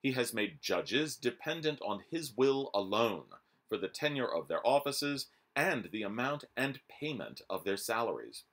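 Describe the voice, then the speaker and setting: A man in his forties reading a historical document aloud in a formal, measured, declamatory manner. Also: mid-range voice, sing-song, a bit bright. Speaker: reader. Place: small room at home, indoors.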